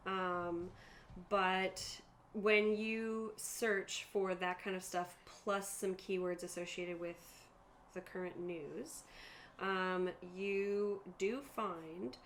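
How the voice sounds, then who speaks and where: A woman's voice: mid-range voice, fairly even intonation, drawn-out words, a bit bright. A woman running a tabletop roleplaying game, explaining rules and narrating in a conversational way. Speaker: game master. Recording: clearly noisy group room recording.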